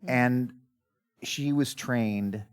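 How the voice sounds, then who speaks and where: A man's voice: medium pitch, extremely slow, clear, resonant tone. A middle-aged man, a magician guest, casually telling his life story in an interview and acting out bits of dialogue. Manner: animated storyteller who acts out quoted dialogue.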